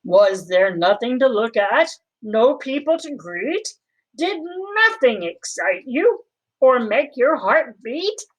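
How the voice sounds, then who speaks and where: A calm but stern father from a children's storybook, acted out by a woman reading aloud. The lines come slowly and probingly, in a measured way, in a somewhat high register. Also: lo-fi sound, exaggerated voice.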